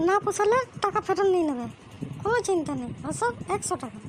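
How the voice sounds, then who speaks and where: A woman's voice: very high-pitched, lively intonation, fast talker. A woman voicing a pushy, coaxing female hustler who drives hard bargains in a crude comedy cartoon. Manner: coaxing, businesslike, insistent. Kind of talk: amateur comic cartoon dubbing. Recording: noisy amateur cartoon dub.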